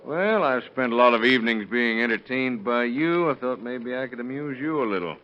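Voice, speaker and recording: A man's voice: mid-range voice, lively intonation, a little fast, natural voice. An actor playing a shrewd male police detective with a dry, needling manner, in 1930s radio drama style. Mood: dry, goading. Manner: dry, needling, leading questions. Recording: dull lo-fi old radio recording.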